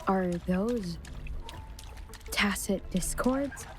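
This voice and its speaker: A woman's voice: mid-range voice, drawn-out words, a little mellow, soft background music. A woman voicing a wary, self-possessed young adventurer in a video game, musing as if to herself. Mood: wary, puzzled. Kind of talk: English video game voice acting.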